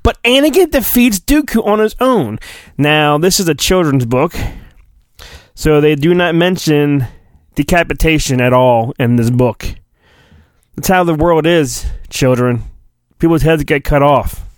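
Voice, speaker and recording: A man's voice: a little high, animated, measured pace, dry recording, studio quality. A man hosting a podcast, casual and self-deprecating, reading a children's storybook aloud in a playful mock-dramatic way. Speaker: podcast host.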